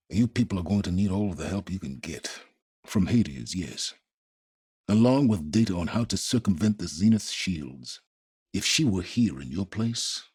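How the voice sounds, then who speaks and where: An actor playing a cold, calculating, aloof scholar in a video game, speaking formally with measured, condescending, sardonic phrasing. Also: male voice, sits low, a little mellow, breathy edge, exaggerated voice. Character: cold, calculating, aloof male scholar, middle-aged or older. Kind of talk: scripted video game voice acting.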